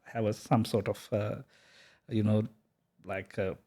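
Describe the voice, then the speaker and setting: A man, a software company founder talking casually in an interview, hesitant with many fillers and restarts. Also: on the low side, level tone, unhurried. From Sri Lanka. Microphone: podcast microphone.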